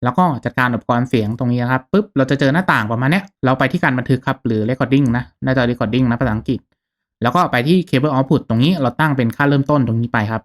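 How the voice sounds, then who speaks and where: Casting: male tutorial host, medium pitch, casual and courteous step-by-step explainer for a gaming channel. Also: fast. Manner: informal, polite, explanatory, checking in with listeners.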